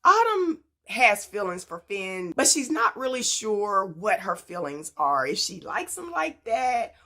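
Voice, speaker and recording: A woman's voice: mid-range voice, sing-song, unhurried, sharp tone. A woman talking casually to her viewers as a book vlogger, telling stories in a conversational way and tossing out rhetorical questions. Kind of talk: casual, chatty book haul presentation.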